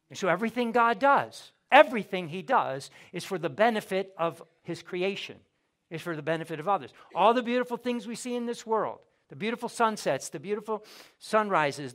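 Guy preaching a sunday sermon, fairly high voice, gets loud then drops way down. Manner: emphatic preaching, rising and falling in volume, repeats words. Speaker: pastor. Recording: audio of a church sermon.

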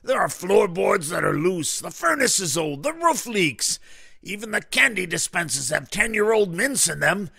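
Haughty stage director, very gravelly, forceful, grandiose, audio comedy. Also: male voice, high-pitched, big pitch swings, normal speed, dry recording, studio quality, exaggerated voice. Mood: dismissive. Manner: grandiose, emphatic, theatrical. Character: pompous, dismissive male theatre director and actor.

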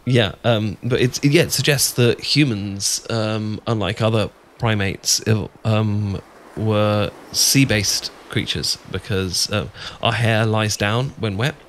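A man acts out an adorkable young British friend with a crush in an ASMR roleplay. His voice sits a little low, and he rambles bashfully, stammering and hesitating as he goes. Ambient noise is audible behind him. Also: fairly even intonation, takes it slowly, hardly any pauses, fairly bright tone. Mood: bashful, rambling. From Britain. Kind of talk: ASMR boyfriend roleplay, improvised-sounding casual chat. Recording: clear background noise with ambience.

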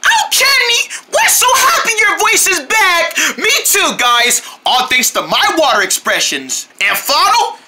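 Brief: woman doing all the goat characters in a homemade paper puppet cartoon, high bright voice, slow delivery, lots of shouting and fake crying. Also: very animated. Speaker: home puppet show creator voicing paper goat characters. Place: outdoors on grass at night.

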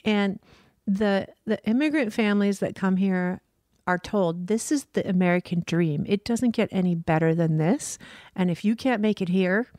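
Woman, surf school founder, earnest, passionate, mid pitch, dry close podcast mic. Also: moderate pace, darkish tone, no room sound. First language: French. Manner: earnest, passionate, laughs easily. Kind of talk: casual interview talk.

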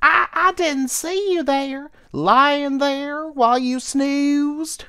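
A man voicing a shy, sad young female cricket who speaks timidly and haltingly, sometimes through tears. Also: extremely high pitch, sharp tone, pitched above the performer's own voice, exaggerated voice. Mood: flustered.

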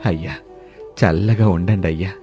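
Man acting out old village husband, mild, wheedling, food-loving, low mellow voice, cartoon folk tale, music underneath. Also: normal speed, natural voice. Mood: pleading. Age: older. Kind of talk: animated moral story dialogue.

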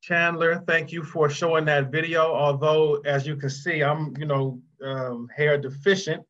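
Higher-pitched American man addressing an event. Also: level tone, a little slow, a bit bright. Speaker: keynote speaker, author and professor. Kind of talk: gracious public address. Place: video call. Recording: slightly dull video-call audio.

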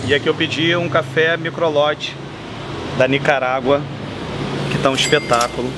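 A man's voice: a little high, steady tone, normal speed. A man in his thirties with a Brazilian accent, enthusiastically chatting through a travel vlog, filmed on a handheld camera at arm's length outdoors. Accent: Brazilian Portuguese. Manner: enthusiastic, chatty, lists things off. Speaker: travel vlogger. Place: city sidewalk and café terrace outdoors. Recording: street noise behind.

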